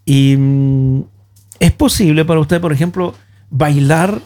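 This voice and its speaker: A man's voice: on the high side, slow pace, dark tone. A man hosting a radio show, interviewing guests conversationally at a studio microphone. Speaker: radio host.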